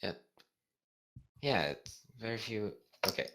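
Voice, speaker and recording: Deep-voiced, slow, halting man. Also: fairly bright tone. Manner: halting, stumbling over words. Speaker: co-host reading fan fiction aloud. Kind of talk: casual banter and amateur reading aloud.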